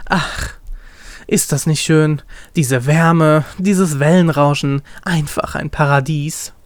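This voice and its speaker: A man's voice: a little high, steady tone, slow, no room sound. A man voicing a carefree, silly young male vampire in a story, chatty, exclamatory and a little whiny. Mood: blissful.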